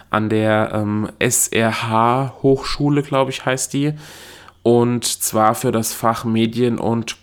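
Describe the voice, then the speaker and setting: A young adult man from Germany hosting his own solo podcast, talking in a chatty, self-correcting way and laughing at himself. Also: lowish voice. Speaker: podcast host. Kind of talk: casual solo podcast monologue.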